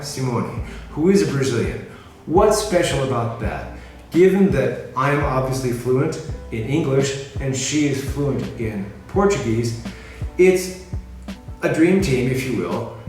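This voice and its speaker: A middle-aged American man from California, talking casually to the camera in a measured way and often rephrasing himself. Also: mid-range voice, a little mellow. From California, USA. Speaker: freelancer presenting himself. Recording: very echoey room, mic at a distance, music behind.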